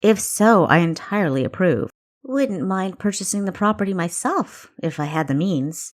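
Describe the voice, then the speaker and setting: An eager young female spy , acted by a woman narrator, talks with cheek and quick wit. It is character dialogue from an audiobook. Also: lowish voice, lively intonation, lightly acted. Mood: bright curiosity.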